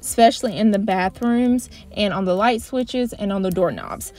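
A woman in her thirties chatting casually in a voice-over for her cleaning vlog, relaxed and full of fillers. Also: mid-range voice, normal speed. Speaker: cleaning vlogger.

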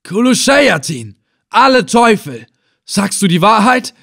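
Man acting out a loud, menacing chieftain. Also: a little high, animated, normal speed, breathy edge, pitched above the performer's own voice. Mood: alarmed, demanding. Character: furious cruel slave-hunter chieftain, threatening, suspicious adult man. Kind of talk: dramatized audiobook adventure reading.

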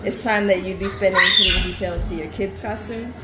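A woman's voice: medium pitch, fairly even intonation, brisk. A woman chatting casually and bantering in an opinionated way, as a parent co-hosting a home cooking stream. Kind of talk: casual chat. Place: home kitchen. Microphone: distant camera mic over the counter. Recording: echoey kitchen with music behind.

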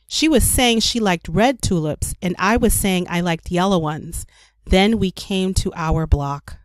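A woman reading a children's story aloud as a storyteller, with careful, expressive narration for young children. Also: lowish voice, measured pace, dry recording. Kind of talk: scripted read-aloud narration.

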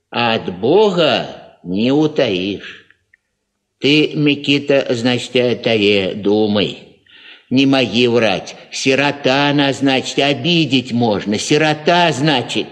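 An actor playing a pious, simple old peasant father who talks haltingly and repeats filler words, in a Soviet radio play. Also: mid-range voice, animated, fairly bright tone. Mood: grave, halting.

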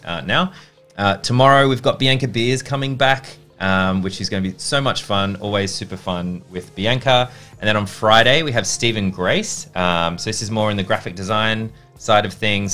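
A man's voice: deep voice, fairly bright tone. A young man hosting a livestream, chatty and upbeat with many fillers, on a headset mic. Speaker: stream host. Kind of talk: casual livestream chat. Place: home studio with acoustic foam.

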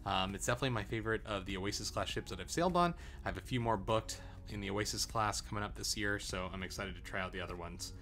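A young man talks through a travel review in an easygoing, conversational way. His voice sits on the low side, and he often pauses on "uh" and "um" as he goes. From Arizona, USA. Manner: relaxed, chatty, frequent uh and um fillers. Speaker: travel vlogger. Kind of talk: casual review to camera.

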